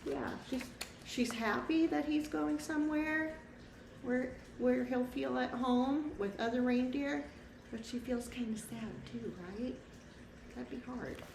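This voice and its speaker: A woman reading a picture book aloud to young children, pausing to explain things simply and ask them questions. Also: high-pitched, creaky. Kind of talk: storybook read-aloud with asides to young children. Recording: echoey room, distant mic, faint noise.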